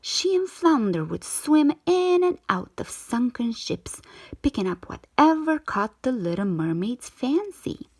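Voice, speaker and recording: A woman reading a children's storybook aloud in an expressive, measured storytelling manner. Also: a little high, husky. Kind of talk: scripted storybook narration, read aloud.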